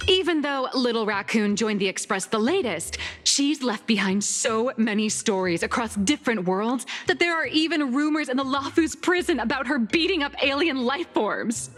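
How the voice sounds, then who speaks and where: A woman's voice: on the high side, expressive, moderate pace, hardly any pauses, fairly bright tone. A woman playing a theatrical young comic artist in an anime game, lecturing like a self-assured, mock-scholarly teacher. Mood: lecturing, dramatic. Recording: long reverb, music behind.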